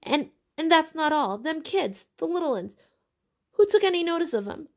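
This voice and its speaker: Woman acting an indignant, high-pitched schoolboy. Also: very animated, normal speed. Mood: angry, indignant. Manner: plaintive protesting, ungrammatical colloquial speech. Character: indignant, frightened, put-upon schoolboy, pleading to be heard.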